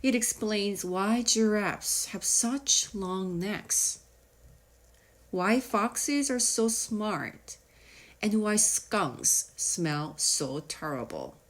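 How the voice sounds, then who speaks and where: A woman narrating a children's nonfiction audiobook in a measured, explanatory way with deliberate pauses. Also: medium pitch, lively intonation. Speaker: audiobook reader.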